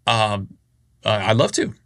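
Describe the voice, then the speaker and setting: Narrator voicing a polite young lawyer, hesitant and stammering, measured and dry. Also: male voice, lowish voice, very animated, fairly bright tone, no echo, exaggerated voice.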